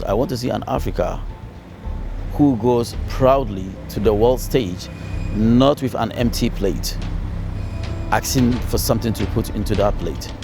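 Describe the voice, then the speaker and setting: A man's voice: lowish voice, vocal fry. A man from Ghana, a city councilor, giving earnest interview answers with fillers and repeated phrases.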